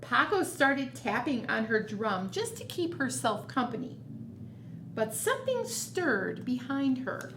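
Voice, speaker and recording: A woman's voice: a little high, big pitch swings, unhurried, fairly bright tone. A woman reading a picture book aloud to children as a storytime reader, expressively and with chatty asides. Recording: roomy echo, noticeable background noise.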